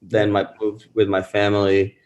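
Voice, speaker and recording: Deep-voiced man in his thirties, rambling. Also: monotone, normal speed, roomy sound, lo-fi sound. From born in Pakistan, raised in Rhode Island. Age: 30s. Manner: rambling, many fillers and restarts. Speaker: musician guest. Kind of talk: casual interview chat.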